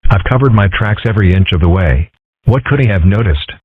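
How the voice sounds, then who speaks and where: A man's voice: lowish voice, animated, brisk, breathy edge, no room sound. A man voicing a geeky, scheming, self-important boy in a cartoon comic dub, wordy and pompous. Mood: flustered. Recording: tinny, phone-like audio.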